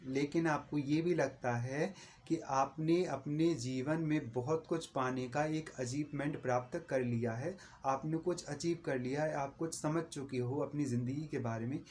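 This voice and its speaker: A man's voice: fairly high pitch, steady tone, a little slow, darkish tone, a little hiss or hum. A Hindi-speaking man giving an earnest, repetitive book recommendation in a casual video review.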